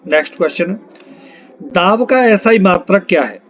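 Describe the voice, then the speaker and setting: A high-voiced man leads a quiz-style exam lesson. He reads each item out in an instructional way and repeats key points. The recording sounds dull and lo-fi. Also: moderate pace, fairly bright tone. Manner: instructional, repeats questions and answers for emphasis. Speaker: exam-prep physics teacher. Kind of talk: question-and-answer lesson, reading out questions and options.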